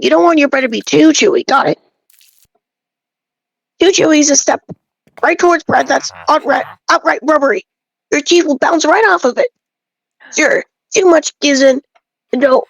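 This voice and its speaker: A woman with a distinctly high voice reads scripted character dialogue aloud. She delivers it as an amateur dramatic reading, like a monologue addressed to one listener. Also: vocal fry, no room sound, rough recording.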